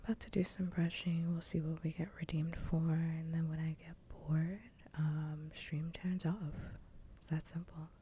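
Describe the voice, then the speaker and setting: A woman whispering softly and breathily close to the mic in a casual, blunt ASMR livestream chat. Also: low-pitched, level tone, moderate pace. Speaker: livestream host. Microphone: close ASMR microphone. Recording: faint background noise.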